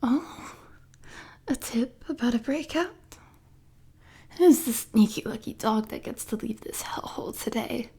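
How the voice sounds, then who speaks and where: A woman playing an unhinged, flirtatious female supervillain prisoner in a roleplay audio drama, with a breathy, husky, whispery voice and a teasing, mock-sweet manner. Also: on the high side, expressive, mellow, exaggerated voice. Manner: slow, teasing, sultry, mock-sweet taunting.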